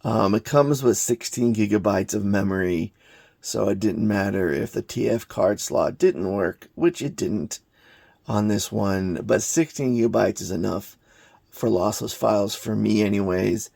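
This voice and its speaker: A man giving an unscripted hands-on gadget review in a halting, plain-spoken, matter-of-fact way. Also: lowish voice, vocal fry, darkish tone, dry recording, clean studio sound. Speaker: product reviewer, hobbyist maker.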